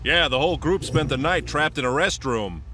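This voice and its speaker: A man voicing a gruff, cocky squad veteran in an English anime game dub, talking bluntly in casual slang. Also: on the high side, lively intonation, normal speed, sharp tone, a little hiss or hum.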